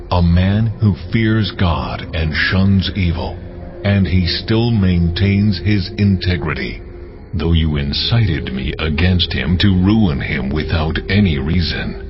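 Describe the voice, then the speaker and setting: A man voicing the Lord in a dramatized scripture reading, solemn and authoritative. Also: sits low. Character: the Lord, commanding divine male voice. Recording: dull lo-fi recording. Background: cinematic music.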